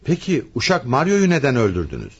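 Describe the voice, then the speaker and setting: An actor playing a stern, probing, husky police commissioner with an authoritative manner in a radio play. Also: male voice, mid-range voice, wildly swooping pitch, brisk, muffled recording, full character voice. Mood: firm. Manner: authoritative, interrogating.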